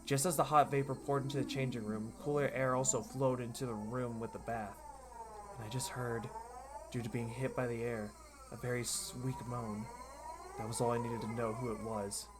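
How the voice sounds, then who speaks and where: A man streaming a dramatic, expressive read-aloud of a visual novel, narrating in the first person. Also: medium pitch, moderate pace, noisy background. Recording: loud music behind the voice.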